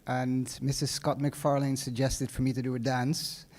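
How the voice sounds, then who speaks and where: A man's voice: medium pitch, little pitch movement, moderate pace, darkish tone. Dutch man giving a graduation speech. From Netherlands. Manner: conversational, many fillers and restarts. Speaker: guest speaker. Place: large ceremony hall.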